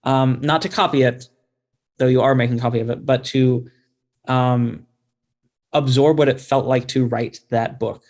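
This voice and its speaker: Male guest author, mid-pitched, conversational, thoughtful and self-deprecating in an informal interview. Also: steady tone, moderate pace, pauses often, a little mellow.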